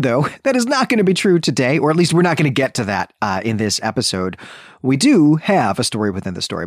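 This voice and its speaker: A man's voice: mid-range voice, no room sound, clean studio sound. A man co-hosting a podcast, giving a conversational literary recap with chatty asides and qualifications. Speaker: podcast co-host.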